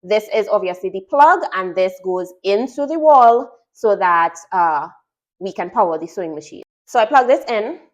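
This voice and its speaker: A woman's voice: medium pitch, animated, normal speed. A woman with a Trinidadian accent, a sewing teacher chatting through an unboxing and explaining each part step by step. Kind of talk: product unboxing and tutorial.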